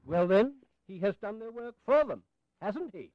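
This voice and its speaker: An actor playing an indignant, principled old British general and minister in a historical audio play, sardonic and oratorical. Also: male voice, sits high, big pitch swings, a little slow, no room sound. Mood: defiant. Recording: slightly dull 1980s audio recording.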